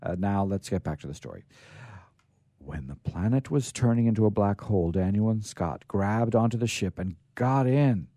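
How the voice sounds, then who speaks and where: Male radio host, storyteller, lowish pitch, very animated intonation, halting, theatrical, close dry sound. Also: dry recording. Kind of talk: informal radio storytelling, reading aloud.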